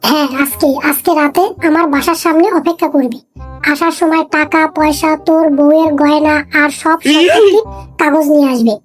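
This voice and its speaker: A woman playing a bossy, scheming young girlfriend in a comic cartoon, coquettish and sharp-tongued. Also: high-pitched, a little fast, close to an everyday voice. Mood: demanding. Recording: music behind the voice.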